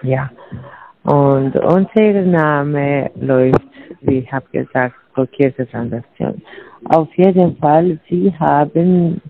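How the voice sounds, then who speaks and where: A woman's voice: deep voice, slow pace. Scam caller woman on tinny phone line. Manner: broken German, repeats scripted lines.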